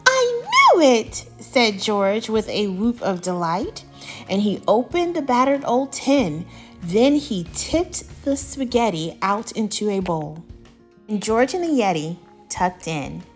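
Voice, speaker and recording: A woman reading aloud as a teacher, telling a children's story in an expressive, deliberate way. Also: mid-range voice, soft background music. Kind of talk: animated children's storybook narration.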